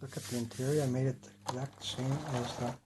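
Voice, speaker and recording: A man with a rough voice, casually rambling and correcting himself while he shows his model city. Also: mid-range voice, level tone, fast talker, noisy background. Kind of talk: casual talk-through.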